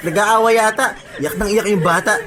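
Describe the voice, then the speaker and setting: A man's voice: sits high, moderate pace, crowd noise, lightly acted. An actor playing a friendly, casual man in a radio drama. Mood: casual, griping. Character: friendly, casual man meeting an old flame. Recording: music bed behind.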